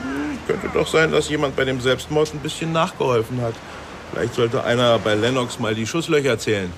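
A man's voice: medium pitch, expressive, brisk, gravelly. An actor playing an inquisitive, insinuating male newspaper crime reporter in a radio drama, with a knowing manner. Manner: knowing, suggestive. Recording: city traffic noise behind.

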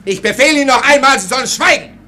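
Hot-tempered first lieutenant, radio play, sharp voice, highly animated, barking, sputtering, exasperated. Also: male voice, racing, a little hiss or hum, heavily acted. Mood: furious, commanding. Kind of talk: German radio play acting.

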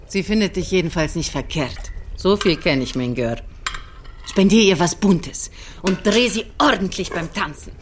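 Radio drama: woman playing middle-aged rural mother, low register, earthy, teasing, blunt, with light clatter behind her. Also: animated, moderate pace, a little hiss or hum, lightly acted. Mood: blunt, wry. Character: blunt, earthy farm mother of a teenage daughter. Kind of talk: German radio drama acting.

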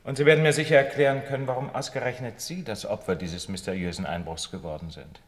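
An actor playing a shrewd, self-assured detective in a radio play, measured and courteous but insistent. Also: male voice, lowish voice, fairly even intonation, close to an everyday voice. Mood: stern, probing. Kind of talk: German radio play acting.

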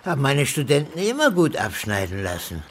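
An actor playing a frail, friendly, scatterbrained old retired professor in a radio drama, with a husky, breathy voice and a halting delivery. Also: male voice, mid-range voice, huge pitch swings, slight background noise. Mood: friendly, confused. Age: elderly.

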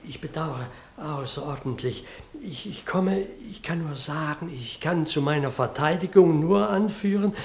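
A very old, helpless country parson in a radio drama. His voice sits fairly high for a man. He hesitates, apologizes formally and repeats his phrases, dignified yet deeply agitated. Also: a little slow, vocal fry, few pauses, darkish tone, a little hiss or hum. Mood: meek, apologetic. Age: older. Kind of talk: German radio play acting.

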